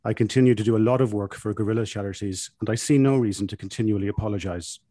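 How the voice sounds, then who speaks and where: Deadpan man reading a comic monologue, dry sound. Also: fairly low pitch, fast talker, no echo. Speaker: author reading aloud. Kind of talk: scripted literary reading, first-person comic monologue.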